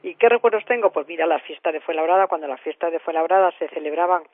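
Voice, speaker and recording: A woman's voice: on the low side, fast, no room sound. A woman in her seventies with a Madrid Spanish accent, reminiscing conversationally in an interview in a rambling, emphatic way. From Madrid, Spain. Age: 70s. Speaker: interview guest, lawyer. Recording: thin telephone-like radio sound, dry.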